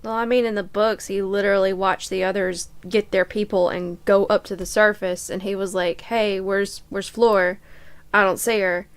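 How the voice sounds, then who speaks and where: Woman cohost on a podcast, medium pitch, matter of fact, just chatting casually. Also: steady tone, normal speed, smooth. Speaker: podcast co-host. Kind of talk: casual chat about films and books.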